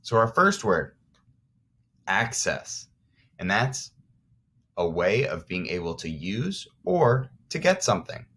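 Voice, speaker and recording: A man's voice: lowish voice, sing-song, takes it slowly, pauses often, a bit bright, breathy edge. An American man, a teacher of young children, reading aloud and explaining simply as he addresses the children directly. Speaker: first grade teacher.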